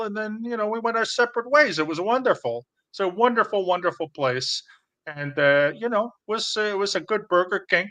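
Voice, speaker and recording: A man's voice: high-pitched, sharp tone, muffled recording. A man doing a bragging Chicago hustler. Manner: rambling, boastful, full of fillers. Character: boastful shady middle-aged male businessman. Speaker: stream co-host. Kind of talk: improvised comedy stream.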